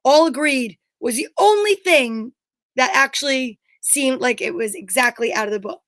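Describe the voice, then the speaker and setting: A woman with a high voice chats informally as a co-host, close and dry in sound. Her delivery is conversational and agreeable. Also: animated, moderate pace, a bit bright, dry recording. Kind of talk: casual chat, reading out viewer comments.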